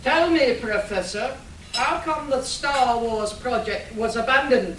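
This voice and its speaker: A woman's voice: fairly high pitch, a little slow, lightly acted. A woman playing an inquisitive scientist in a comic radio play, speaking in scripted dialogue. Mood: curious. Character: inquisitive scientist plotting weather control. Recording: echoey room, distant mic, faint noise.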